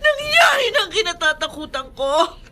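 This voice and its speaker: A woman's voice: very high-pitched, big pitch swings, normal speed, pushed and bright, full character voice. A Filipino actress playing a meek, easily distressed young married woman in an emotive radio drama. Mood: pleading, tearful. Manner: emotive, melodramatic. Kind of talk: Tagalog radio drama acting.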